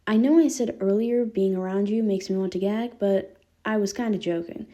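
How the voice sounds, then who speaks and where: Woman voicing a cheeky, mellow teenage boy. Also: mid-range voice, normal speed, close to an everyday voice. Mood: explaining, teasing. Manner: casual, irreverent, candid. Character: cheeky, candid teenage boy with ghostly powers.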